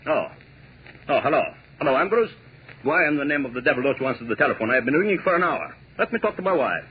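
An actor playing a jealous, commanding conductor with a cold, sarcastic edge in an old radio drama. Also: male voice, medium pitch, big pitch swings, normal speed, a little hiss or hum, lightly acted. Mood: furious. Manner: cold sarcasm, barking demands. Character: jealous, imperious male orchestra conductor. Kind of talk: 1930s radio drama acting. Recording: tinny old radio broadcast.

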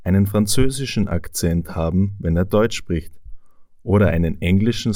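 A man with an Austrian accent explains things to language learners in a calm, measured way. His voice is low and mellow. The recording is dry and close, with no room sound. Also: no echo. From Tyrol, Austria. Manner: clear, measured explanation with rhetorical questions. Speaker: language teacher.

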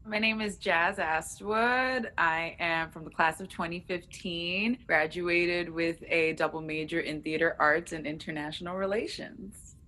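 A woman with a bright, forceful tone introducing herself on a video call. Her voice sits a bit low and she keeps it brief. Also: steady tone, measured pace, few pauses. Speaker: alumna guest.

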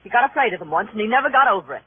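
An actress playing a bitter, tough widow in a 1950s radio crime drama, sardonic and defensive, with an American accent. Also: female voice, fairly high pitch, expressive, very fast, slight background noise, lightly acted. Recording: muffled, narrow vintage radio sound.

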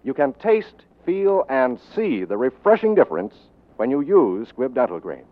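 Lively 1940s radio announcer, tinny sound. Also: male voice, medium pitch, normal speed. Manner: polished, salesmanlike. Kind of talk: scripted 1940s radio commercial read. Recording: tinny, narrow-band 1940s radio recording.

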